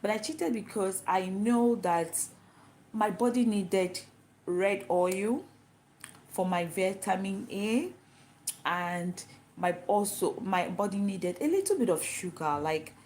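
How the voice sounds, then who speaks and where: A woman's voice: mid-range voice, a little mellow, slight background noise. A Nigerian woman whose first language is Igbo, talking to camera in a chatty, explaining way and answering her own questions. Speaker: vlogger. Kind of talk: casual talk to camera.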